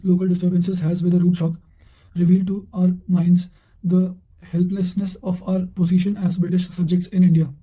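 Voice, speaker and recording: A man reading quotations and study notes aloud, haltingly, often stumbling and repeating words. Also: high-pitched, dark tone, poor audio. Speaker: narrator reading quotations for exam revision.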